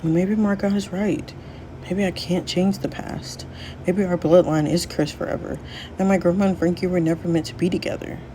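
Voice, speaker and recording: Woman as a worried, pensive young granddaughter, lowish. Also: steady tone, normal speed, mellow, slight background noise, lightly acted. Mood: resigned. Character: worried, pensive granddaughter from the future, meddling matchmaker.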